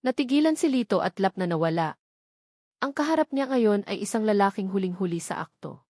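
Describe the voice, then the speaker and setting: A woman's voice: medium pitch, expressive, a little fast, a little mellow, no room sound, studio quality. A woman with a Filipino accent reading scripted narration of a romance story.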